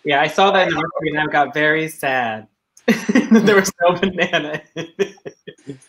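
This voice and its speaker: A man in his thirties speaks hesitantly on a video call, restarting his questions. His voice sits slightly high for a man. He is heard over a webcam mic at home. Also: normal speed, fairly bright tone. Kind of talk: casual chat on a video call. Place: indoors at home.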